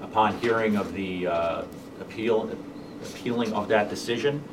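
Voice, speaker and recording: A man, a police chief testifying at a public board hearing, speaking haltingly with many fillers and restarts. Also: fairly low pitch. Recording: distant room mic with background noise.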